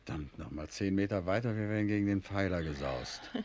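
An actor playing a calm, suave amateur detective husband in a 1950s German radio play, conversational and wry. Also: male voice, sits low, brisk, natural voice. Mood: concerned, pondering. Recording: noisy 1950s radio drama recording.

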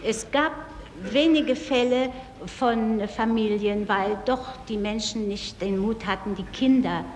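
A woman giving courtroom testimony, halting and searching for words, in an archival recording. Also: on the high side, darkish tone. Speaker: trial witness. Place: large courtroom. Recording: echoey archival courtroom, faint noise.

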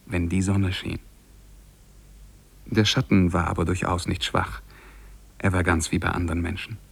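An actor playing a lovestruck young scholar who tells his own story in measured, lyrical German radio-play style. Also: low-pitched, gravelly, no echo.

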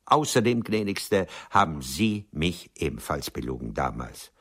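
Alternder Dichter mit sehr tiefer, rauer Stimme. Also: male voice, a bass voice, sing-song, a bit bright, slightly rough, exaggerated voice. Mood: dry, evasive. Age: older. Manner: measured, dry, courtly. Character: ageing womanising writer, urbane, unrepentant, coolly ironic. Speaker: radio drama actor. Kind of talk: German radio play dialogue.

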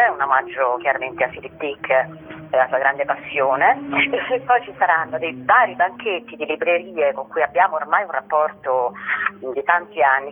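Woman on a tinny phone line, explaining haltingly. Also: low-pitched. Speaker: guest, theatre company member presenting a festival. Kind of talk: radio interview, explaining an event programme. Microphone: telephone.

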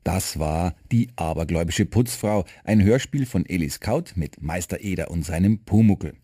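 A man's voice: deep voice, lively intonation, moderate pace, dark tone, slightly rough, no room sound, clean studio sound. A man reading scripted credits as the announcer of a radio play.

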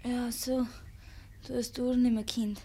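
An actress playing a cunning, self-assured young Bavarian farm maid in dialect in a 1950s radio play. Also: female voice, on the high side, level tone, measured pace, warm tone, breathy edge. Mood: drowsy, knowing.

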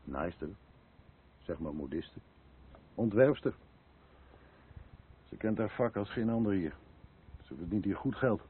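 Deep, blunt, disapproving neighbour. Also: male voice, expressive, a little slow, pauses often, slightly rough, exaggerated voice. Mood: dry. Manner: curt, blunt. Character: dry, disapproving male neighbour, blunt gossip. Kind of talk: naturalistic radio play dialogue. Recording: muffled narrow-band old radio recording.

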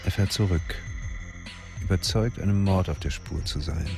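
A sombre storyteller with a deep, low voice. He narrates crime drama in a measured, literary way over faint music. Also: gravelly. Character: sombre storyteller following a detective. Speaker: third-person narrator.

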